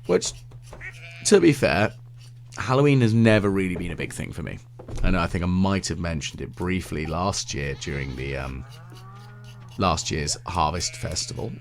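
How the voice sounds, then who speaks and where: A British man with a deep voice giving relaxed, meandering gameplay commentary with gentle, wry asides. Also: moderate pace, slight background noise. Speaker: let's play video host.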